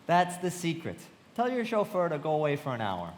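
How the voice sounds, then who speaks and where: A man's voice: on the high side, wildly swooping pitch, brisk. A man acting in a live radio play as a frank, observant young narrator telling his memories in a theatrical way. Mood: conversational. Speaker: narrator and actor. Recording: long hall reverb, clear background noise.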